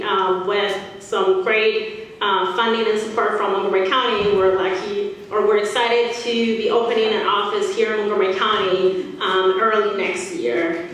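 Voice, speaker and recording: A woman's voice: medium pitch, fairly bright tone. A woman from Peru, a native Spanish speaker with a Peruvian Spanish accent, speaking as a panelist in a public presentation. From Lima, Peru. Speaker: nonprofit immigration attorney, panelist. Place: indoor panel event before an audience. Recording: very echoey room, distant mic, faint noise.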